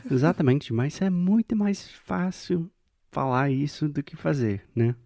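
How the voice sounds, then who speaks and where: A man's voice: fairly high pitch, lively intonation, a little slow, creaky, mellow, no room sound, studio quality. A man from the United States, a native English speaker with an American accent, co-hosting a podcast and speaking in an earnest, reflective way. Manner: earnest and reflective, thinks aloud. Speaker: podcast co-host. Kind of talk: casual conversation.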